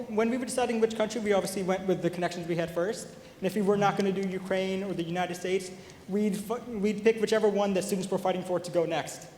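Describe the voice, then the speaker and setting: A young man from Pakistan speaking as a student presenter, pitching and answering questions at an event. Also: darkish tone. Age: young adult. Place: large indoor event hall. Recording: echoey hall, faint noise.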